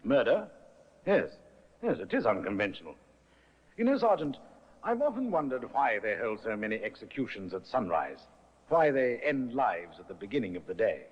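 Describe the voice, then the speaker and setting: A man's voice: mid-range voice, very animated, normal speed, husky, in a large hall. An actor playing a reflective senior detective in a 1950s radio drama, speaking wryly to his sergeant, from a dull old restored broadcast. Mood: musing.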